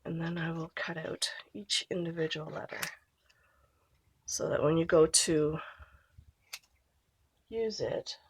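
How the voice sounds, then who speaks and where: Woman, nasal from a cold, gravelly low voice, casual crafting chat, faint tool clicks. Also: a little hiss or hum. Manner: relaxed, chatty, trails off while working. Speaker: scrapbooking vlogger.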